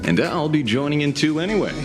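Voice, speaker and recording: A man's voice: on the high side, expressive, fast talker, natural voice. An actor playing a cocky, easygoing showman in a video game, with a breezy, teasing, theatrical delivery over music. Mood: breezy, encouraging. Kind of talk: scripted video game voice acting.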